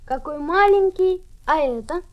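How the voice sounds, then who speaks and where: A woman with a high voice reads textbook dialogues in clear model speech. Her intonation rises and falls a lot, and the sound is dull and lo-fi. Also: lively intonation, measured pace.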